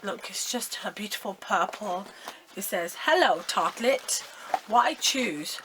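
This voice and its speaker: Hoarse, croaky young woman. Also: medium pitch, slow pace, slight background noise, poor audio. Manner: chatty and excitable, addressing viewers directly. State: hoarse, croaky from a sore throat. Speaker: beauty vlogger. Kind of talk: casual makeup unboxing vlog.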